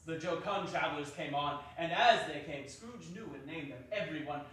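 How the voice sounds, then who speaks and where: A man's voice: on the high side, normal speed, a bit bright, slight background noise. A man narrating a staged dramatic reading with dramatic emphasis, heard from far off in an echoey arts hall. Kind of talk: theatrical narration. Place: small arts center stage.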